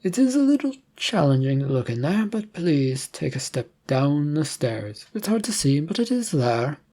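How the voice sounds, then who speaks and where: A man's voice: fairly high pitch, very animated, moderate pace, dark tone. A man voicing an evasive, eerily courteous realtor in a horror story, with hesitant, salesman-like patter. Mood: eerily polite. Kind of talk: storybook horror character acting.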